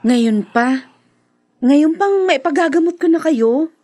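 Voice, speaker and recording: Young woman, high-pitched, earnest, hardened, unhurried, radio melodrama. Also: expressive, dark tone. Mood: reassuring. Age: young adult. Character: hardened young woman, determined to earn, devoted daughter. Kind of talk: Filipino radio drama acting.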